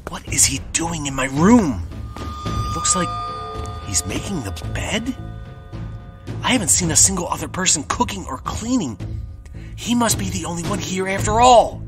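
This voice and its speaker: A frightened young man trapped in a vampire's home thinks aloud in an anxious, stammering way. His voice sits a little high and rises and falls a lot. Music plays under his words. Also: big pitch swings, moderate pace, pauses often. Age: young adult. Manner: anxious, stammering inner monologue.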